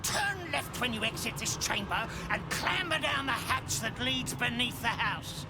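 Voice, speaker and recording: A man's voice: very high voice, animated, measured pace, full character voice. A man voicing a devilish former torturer turned courteous gatekeeper in a video game, with genteel, theatrical, drawling speech. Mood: polite, sly. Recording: loud game music behind.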